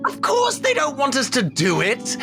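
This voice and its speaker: A man's voice: very high-pitched, huge pitch swings, normal speed, creaky, music in the background, voice pushed up, exaggerated voice. A man playing a driven, exasperated gang leader bent on revenge, insistent and emphatic, in a tabletop game.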